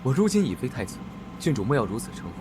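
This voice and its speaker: An actor voicing a calm former crown prince in an animated drama, speaking in a formal, courtly way. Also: male voice, mid-range voice, racing, natural voice. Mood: resigned. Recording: distant voice with background noise.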